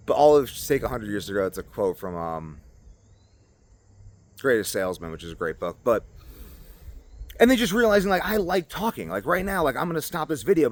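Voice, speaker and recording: A man's voice: mid-range voice, sing-song, fast. A man vlogger talking off the cuff in a casual monologue, rambling as he thinks aloud. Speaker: YouTube vlogger.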